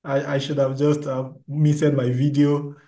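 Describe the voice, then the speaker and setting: A man's voice: medium pitch, monotone, a little slow, dark tone. A man from Nigeria giving a casual introduction as a participant on a video call. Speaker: community meeting participant. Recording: roomy, echoing video call.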